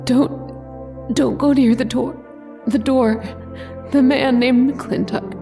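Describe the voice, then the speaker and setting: A woman's voice: high voice, steady tone, takes it slowly, creaky, over music, heavily acted. A woman playing a dying lover giving a desperate warning in weak, broken phrases, in old-time radio horror style. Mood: desperate, fading.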